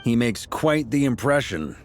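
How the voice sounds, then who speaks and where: A man voicing an easygoing, amiable adventurer leader in a game, relaxed and teasing. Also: medium pitch, unhurried, over music. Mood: amused, easygoing. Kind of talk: dramatic game voice acting.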